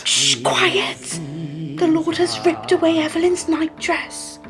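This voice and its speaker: An actress playing a frightened but spirited young daughter in a radio play, hushed and dramatic. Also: female voice, extremely high pitch, big pitch swings, slow, music in the background, full character voice. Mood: hushed, alarmed. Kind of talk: period radio play acting.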